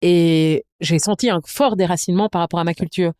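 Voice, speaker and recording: A woman's voice: on the low side, fairly quick, a little mellow, no echo, studio quality. A woman hosting a casual interview in an engaged, informal way. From Belgium. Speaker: podcast host, interviewer.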